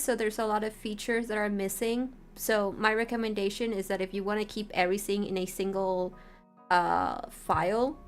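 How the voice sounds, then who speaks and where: A woman streamer with a medium-pitched voice gives an informal tutorial. She talks in a chatty, conversational way and checks in as she explains. Music plays along with her voice. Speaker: streamer teaching how to make visual novels. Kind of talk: casual livestream tutorial talk.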